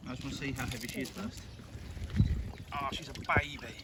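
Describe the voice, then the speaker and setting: A man's voice: mid-range voice, steady tone, fairly quick. A man trading casual, off-the-cuff banter with fellow anglers outdoors by a lake. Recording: very noisy, distant outdoor recording with clicks.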